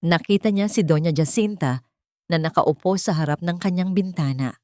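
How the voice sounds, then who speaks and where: Low-voiced woman narrating a drama. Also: normal speed, darkish tone, no room sound, clean studio sound. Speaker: audiobook narrator. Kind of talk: dramatic scripted story narration.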